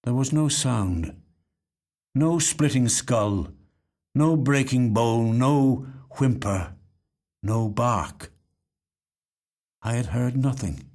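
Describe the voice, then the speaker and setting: A man reading a literary short story as scripted narration, with measured, dramatic, deliberate phrasing. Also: mid-range voice, a little mellow. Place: recording studio.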